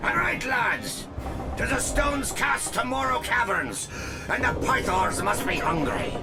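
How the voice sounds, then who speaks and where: A rowdy pirate lackey from a video game. He exclaims in salty talk with an animated, slow-rolling delivery over loud music. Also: very high voice, heavily acted. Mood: bossy. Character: rowdy pirate first mate, excitable and dutiful lackey. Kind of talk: video game character acting.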